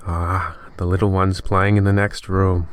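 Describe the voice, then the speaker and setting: A man's voice: low voice, little pitch movement, measured pace, no room sound, studio quality. A man playing a creepy, sly narrator of an old-time radio horror show, with theatrical delivery and morbid humor. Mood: sly, ominous. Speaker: host.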